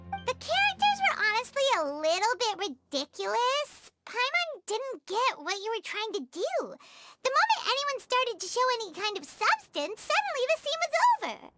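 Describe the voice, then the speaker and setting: Squeaky, very high little fairy girl. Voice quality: squeaky, childlike. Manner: refers to self in third person, frank. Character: small childlike floating fairy companion girl, blunt and chirpy. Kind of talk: video game voice acting. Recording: music behind the voice.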